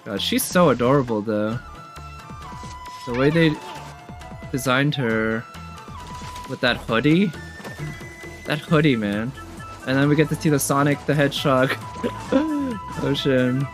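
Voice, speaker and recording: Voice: mid-range voice, animated, takes it slowly, pauses often. A reviewer with a mid-range voice that could be a man's or a woman's gives casual reaction commentary. The delivery is conversational and musing, with music playing behind it. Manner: conversational, musing, thinking aloud. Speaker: reviewer reacting to game trailers. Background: game trailer music.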